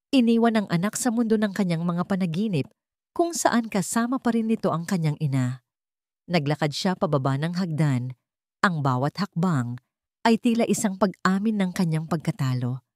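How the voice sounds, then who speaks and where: A woman narrates a dramatic story in an unhurried, solemn manner. Her voice sits low for a woman, and she is recorded close to the microphone. The sound is dry, with no trace of room echo. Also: darkish tone.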